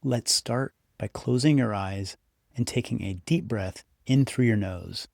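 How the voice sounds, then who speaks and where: A man narrating a scripted guided meditation as the guide, speaking in an unhurried, encouraging, instructive way. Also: mid-range voice, creaky, a little mellow, no echo, clean studio sound. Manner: unhurried, instructive, encouraging second-person address.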